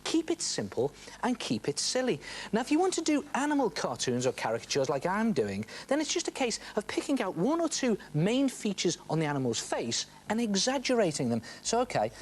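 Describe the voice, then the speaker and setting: A man's voice: mid-range voice, husky. A man with a British accent presents a step-by-step drawing demonstration for children, chatting to the viewer and asking questions with playful emphasis. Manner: chatty, asks the viewer questions and answers them. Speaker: presenter of a children's art TV show.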